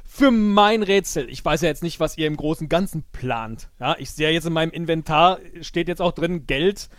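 A man's voice: on the high side, moderate pace, dry recording, clean studio sound. A man chatting casually as a podcast guest, explaining his thoughts reflectively. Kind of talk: casual chat.

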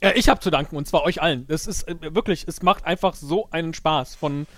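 A man's voice: on the high side, fairly quick, a bit bright, dry recording. A man chatting casually as a podcast guest, explaining his thoughts reflectively. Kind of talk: casual chat.

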